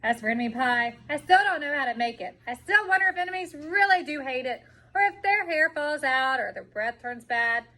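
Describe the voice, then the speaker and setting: A woman reading a children's picture book aloud in an expressive storytelling way, drawing out words for emphasis. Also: sits high, a little fast. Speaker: storybook reader.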